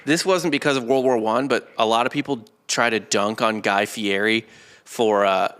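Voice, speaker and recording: Bright-voiced man explaining history casually. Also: on the low side, steady tone, normal speed, smooth. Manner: explanatory, joking, informal with swearing. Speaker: podcast host. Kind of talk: casual comedic history chat.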